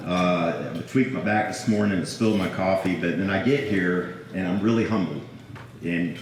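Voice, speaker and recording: A man in his fifties with a low voice jokes humbly through his acceptance speech. He sounds set back from the mic in a heavily echoing hall, with a faint room hum behind him. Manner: self-deprecating, joking, humble. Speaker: hall of fame inductee. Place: large banquet hall. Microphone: podium microphone. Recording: distant, echoey hall over a PA.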